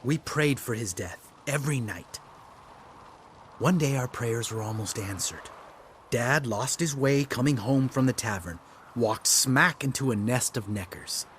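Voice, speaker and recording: Male actor as bitter young fantasy game monster slayer, mid-pitched, sardonic, blunt, cynical, some noise behind. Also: a little slow, a bit bright, natural voice.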